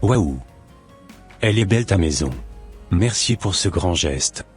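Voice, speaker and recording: Man voicing a low-pitched, devout, humble man. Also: lively intonation, a little slow, faint music behind. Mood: grateful. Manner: pious, earnest, formal. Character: devout, humble formerly homeless man, earnest and grateful.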